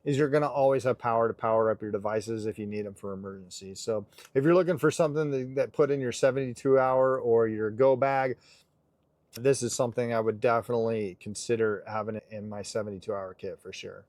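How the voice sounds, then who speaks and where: A man's voice: medium pitch, a little fast, darkish tone, dry recording. A man giving a casual, chatty product demonstration with geeky enthusiasm. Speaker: product reviewer.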